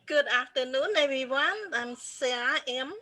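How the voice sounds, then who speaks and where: A woman's voice: high voice, steady tone, measured pace, sharp tone, dry recording. A woman in her fifties with a Cambodian accent, introducing herself earnestly on a video call. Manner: earnest, hesitant with fillers. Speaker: co-host.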